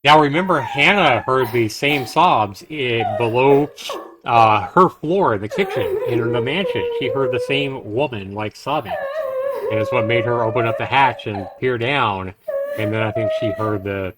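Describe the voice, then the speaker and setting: A man live-streaming a visual novel, reading it aloud in a dramatic, deliberate way with casual commentary. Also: mid-range voice, big pitch swings. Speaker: game streamer.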